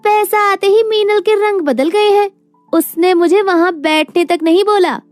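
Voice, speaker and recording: A woman's voice: extremely high pitch, measured pace, no room sound, faint music behind, voice pushed up. A woman voicing a haughty, scornful rich sister in a story, with a sneering, boastful manner. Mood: indignant. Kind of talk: animated moral story dialogue.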